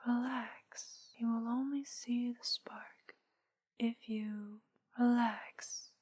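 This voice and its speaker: A teasing witch hypnotist, acted by a woman, speaks in a breathy, feminine whisper that sits a little high. Her phrases come extremely slowly and softly, soothing and seductive in the manner of an ASMR hypnosis roleplay. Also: fairly even intonation, exaggerated voice. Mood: hypnotic, lulling. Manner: slow, soothing, drawn-out delivery.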